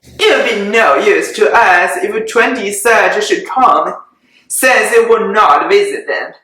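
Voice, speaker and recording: A reader voicing a fussy, excitable middle-aged mother who pleads and frets, in a dramatic reading. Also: mid-range voice, slow pace. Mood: fretful. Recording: very echoey room.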